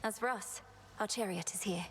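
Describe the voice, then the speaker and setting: Casting: woman playing earnest young witch princess, mid-range gravelly voice, composed and formal, soft music under. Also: mid-range voice, moderate pace, big-room sound, noisy background, natural voice. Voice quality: gravelly creak. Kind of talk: video game dramatic acting.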